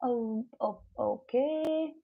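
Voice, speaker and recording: A woman's voice: fairly high pitch, extremely slow, creaky. Chatty Indian woman on a dull livestream. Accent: Indian English. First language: Konkani. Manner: chatty, rambling, reads out viewer comments. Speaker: livestream host. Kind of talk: casual motivational livestream chat. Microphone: new mic, livestream.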